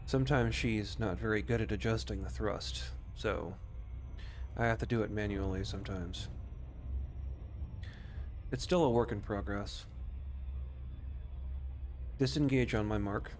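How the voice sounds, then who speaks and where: A man's voice: lowish voice, measured pace, pauses often, darkish tone, slight background noise. A man giving casual commentary as he plays a space flight game, with short role-play voice commands.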